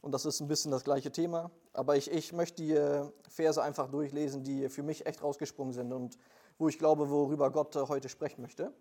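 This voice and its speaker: Hesitant young preacher with a mellow voice. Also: male voice, fairly high pitch, flat delivery, fairly quick. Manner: hesitant, many fillers, plain earnest delivery. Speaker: lay preacher and missionary. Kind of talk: informal church sermon with prayer.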